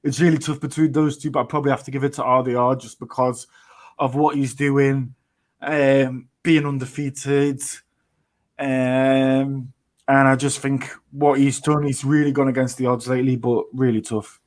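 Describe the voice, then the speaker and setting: A man's voice: medium pitch, level tone, moderate pace. A man co-hosting a post-fight podcast, chatting casually and hedging his views with repeated phrases. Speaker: podcast co-host.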